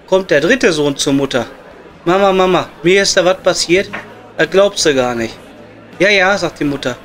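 A man's voice: sits high, normal speed, fairly bright tone, some chatter behind. A man playing a crude, jovial coal miner with a Ruhr German accent in an improvised comedy audio play. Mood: joking, blunt. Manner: blunt, joking, slangy. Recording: music behind the voice.

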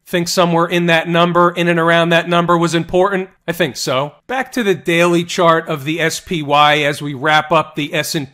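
A man giving an energetic voice-over walkthrough of stock charts, asking rhetorical questions as he teaches. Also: fairly high pitch. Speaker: trading educator. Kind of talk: stock market chart analysis. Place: voice-over on screen recording.

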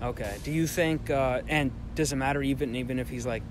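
A man's voice: mid-range voice, a little fast. A young man in his twenties interviewing passers-by outdoors, rambling with many fillers and restarts. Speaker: street interviewer. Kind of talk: casual vox pop interview. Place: outdoors on a campus street. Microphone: handheld mic. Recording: outdoor noise behind.

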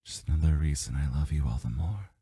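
A man's voice: rumbling low, lively intonation, fast, dark tone, dry recording, studio quality, exaggerated voice. A man voicing a doting, teasing boyfriend in an intimate ASMR roleplay, murmuring breathily and often slipping into a whisper. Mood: playful, teasing. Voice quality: breathy, husky, gravelly. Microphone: close microphone, dry.

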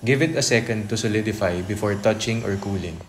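Low-voiced man narrating a repair tutorial. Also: a little fast, a little hiss or hum. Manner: even, step-by-step explaining. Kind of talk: scripted instructional voice-over.

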